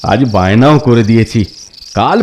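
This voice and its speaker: An actor playing an eager, bossy middle-aged village husband in a cartoon, with an excitable way of repeating his phrases. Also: mid-range voice, moderate pace, a little hiss or hum, natural voice. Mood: reassuring, pleased. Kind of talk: cartoon voice acting.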